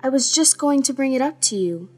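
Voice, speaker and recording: A woman's voice: sits high, lively intonation, a little fast, mellow, faint music behind. A woman voicing a meek, sweet, downtrodden young girl in a children's fairy tale cartoon, timid and plaintive. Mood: apologetic.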